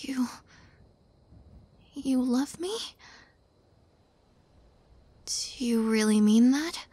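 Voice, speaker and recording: Woman acting a breathy, high-voiced shy young witch. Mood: tearful, apologetic. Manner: hesitant, halting, soft-spoken, often dropping to whispers. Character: shy, tender young witch girlfriend, tearful and devoted. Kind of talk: intimate girlfriend roleplay audio, soft and breathy.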